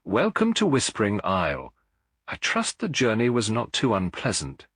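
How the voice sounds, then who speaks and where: A gracious young aristocratic hostess acted out by a man in a mystery audiobook. The voice is low and husky, lifting and falling freely, with formal, polite phrasing and a very dry, close sound. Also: wildly swooping pitch, normal speed, a bit bright, no echo, heavily acted. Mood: gracious welcome.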